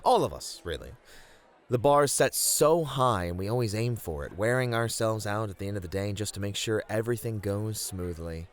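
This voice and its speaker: A man acts out a male bunny-club host in an ASMR roleplay. His voice sits low, and he is earnest and caring. He teases and flirts playfully with whoever he is talking to. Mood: earnest, weary.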